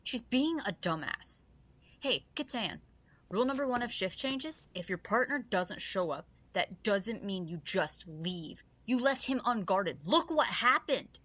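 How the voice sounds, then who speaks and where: A woman's voice: medium pitch, lively intonation, a little slow, a bit bright. A woman playing a cocky, combative guard who talks with scorn in a fantasy audio drama. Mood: scornful.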